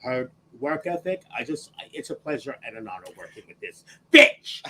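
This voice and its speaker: A man with a raspy whiskey voice, co-hosting a drag podcast in a teasing, theatrical chat style. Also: big pitch swings, moderate pace, pushed and bright, slight background noise, low-quality recording. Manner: theatrical, teasing, sweeping pitch. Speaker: drag queen podcast co-host. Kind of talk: campy casual chat.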